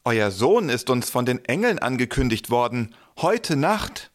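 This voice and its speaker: A man's voice: mid-range voice, sing-song, measured pace. A man playing a poor, awestruck shepherd in a nativity audio play. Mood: awed, excited.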